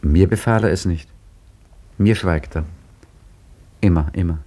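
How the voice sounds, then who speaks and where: A low, husky male voice as a brooding husband, wry and reflective while he narrates in a 1950s radio play. He sounds thoughtful and gently teasing. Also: measured pace. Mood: tender. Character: Hakim, an idle, brooding Egyptian fish merchant's husband. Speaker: narrator and lead character. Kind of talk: 1950s radio drama acting.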